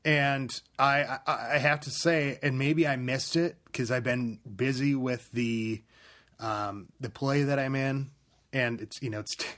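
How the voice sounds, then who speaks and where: A man's voice: medium pitch, steady tone, unhurried, fairly bright tone. A man co-hosting a casual gaming podcast, rambling and restarting his sentences as he chats. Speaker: podcast co-host. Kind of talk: casual chat.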